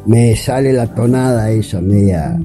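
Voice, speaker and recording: A man from Córdoba, Argentina, leaves a friendly, rambling voice message for a radio host. His voice sits a little low. Clear music plays behind him. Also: a little slow. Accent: Córdoba Argentine Spanish. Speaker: caller.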